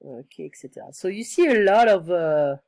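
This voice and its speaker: A woman tutoring one-on-one, her voice slightly hoarse from illness, explaining patiently and repeating phrases. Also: fairly low pitch, lively intonation, brisk, a little mellow, no room sound. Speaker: French tutor. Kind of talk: one-on-one online language lesson.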